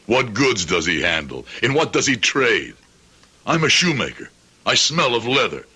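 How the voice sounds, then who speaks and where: An actor playing a grieving, vengeful shoemaker in an old radio drama, speaking formally and deliberately with cold determination. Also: male voice, medium pitch, big pitch swings, pushed and bright, slightly rough, full character voice. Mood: cold, accusing. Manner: formal, deliberate, rhetorical questioning. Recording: noisy vintage radio drama recording.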